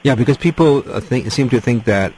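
A man's voice: mid-range voice, animated, a little fast, a little mellow, a little hiss or hum, low-quality recording. A man interviewing a guest on the radio, asking hesitant questions full of fillers.

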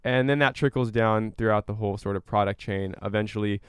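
Guy as radio interview guest, smooth lowish voice, explains stuff casually, dry sound. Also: steady tone, fairly quick, no echo. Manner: explanatory, with everyday examples. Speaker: interview guest, climate researcher and activist.

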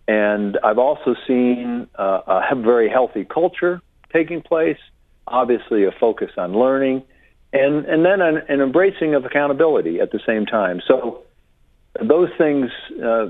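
A man's voice: medium pitch, no echo. Man on a tinny phone line. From Connecticut. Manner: hesitant, many restarts and fillers. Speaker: guest author. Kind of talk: radio interview.

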